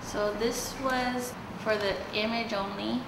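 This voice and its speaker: A woman's voice: a little high, steady tone, slow pace, gravelly, echoey room, very noisy. A young woman from Hawaii speaking thoughtfully and earnestly about her life, recorded outdoors at a beach. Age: young adult. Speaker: documentary participant. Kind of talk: reflective personal narration.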